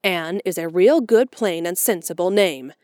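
A woman voicing a stern, practical middle-aged spinster who talks briskly and curtly in a storybook reading. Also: lowish voice, a little mellow, no room sound, clean studio sound, lightly acted. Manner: brisk, curt, no-nonsense.

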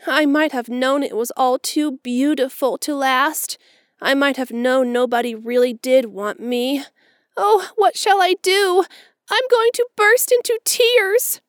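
Storybook orphan girl, very high and emphatic, gushing and tearful. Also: fairly even intonation, unhurried, dry recording, clean studio sound. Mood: despairing. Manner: breathless, florid, tearful pleading. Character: eager, dramatic orphan girl, about eleven. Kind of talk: audiobook character voice.

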